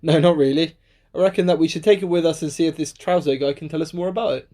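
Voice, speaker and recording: A woman's voice: low-pitched, fast talker, mellow, natural voice. A woman acting a cocky, sceptical young adventurer with sarcastic banter in an amateur radio play. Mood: excited, curious. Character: cocky, sceptical, bold young adventurer who loves to mock.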